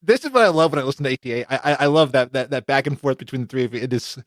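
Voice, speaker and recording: A man's voice: medium pitch, expressive, rapid-fire, no room sound. A man hosting a casual podcast, upbeat and often stammering and repeating words, on a studio mic at home. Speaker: podcast host.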